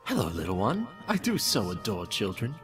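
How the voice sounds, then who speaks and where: A man's voice: lowish voice, huge pitch swings, normal speed, over music. A man playing a courteous, effusive unicorn shopkeeper who speaks formally in a fan audio drama. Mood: gracious.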